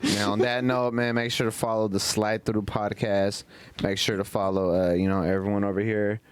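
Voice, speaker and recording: A man co-hosting a casual podcast, laughing along and quick to agree. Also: fairly low pitch, little pitch movement, clean tone, no echo. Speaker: podcast co-host.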